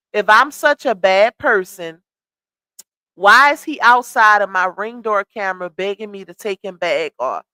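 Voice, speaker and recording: A woman's voice: a little high, bright, forceful tone, dry recording. Chatty woman hosting a gossip live stream. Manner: chatty, reads out comments and greets viewers. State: losing her voice.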